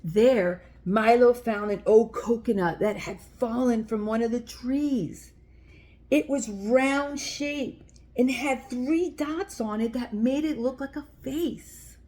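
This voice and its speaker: A woman's voice: a little high, slow pace, mellow. A woman hosting a children's story time, reading aloud and speaking directly to young listeners in simple, explanatory language.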